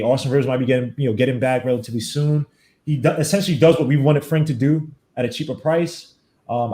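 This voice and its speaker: A man hosting a podcast, arguing his point in a fast, emphatic, animated way. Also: medium pitch. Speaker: podcast host. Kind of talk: casual sports debate. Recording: echoey room.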